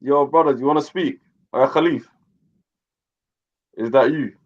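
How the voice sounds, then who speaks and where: A man's voice: medium pitch, normal speed. A man hosting a casual online discussion, pushy and teasing, mixing in Somali and Arabic words. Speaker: host. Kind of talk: casual chat. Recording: dull lo-fi online call audio.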